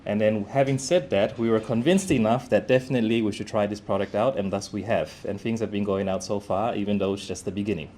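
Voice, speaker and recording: A man with a fairly low voice delivers an informal speech to open an event. He speaks as a company manager, with slight background noise on the live recording. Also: fast talker, hardly any pauses, darkish tone. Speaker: company manager giving opening remarks.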